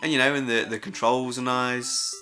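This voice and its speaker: Bright-voiced man rambling casually over music. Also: mid-range voice, fairly even intonation, moderate pace. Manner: hesitant, enthusiastic, informal and sweary. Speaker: host of a gaming audio log. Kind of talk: casual unscripted rambling commentary.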